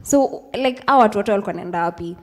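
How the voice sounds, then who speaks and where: A woman's voice: medium pitch, rapid-fire. A young Kenyan woman in her twenties, speaking to a live audience in a chatty, casual way, mixing in Swahili phrases and plenty of ums. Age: 20s. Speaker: speaker at a youth event. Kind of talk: informal talk with slides to a live audience. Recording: hall with long reverb.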